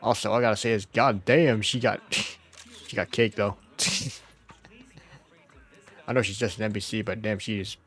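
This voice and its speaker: A man's voice: fairly low pitch, steady tone, moderate pace, long pauses, a bit bright. A man who is under the weather, streaming a game with informal, jokey commentary and reading out story text. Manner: informal, jokey, often chuckling. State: sick.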